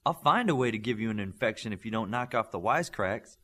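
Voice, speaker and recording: A man's voice: fairly low pitch, animated, fast talker, pushed and bright. A man voicing a blunt, short-tempered young female healer with superpowers in an audiobook, in a matter-of-fact, prickly way. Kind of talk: audiobook character voice.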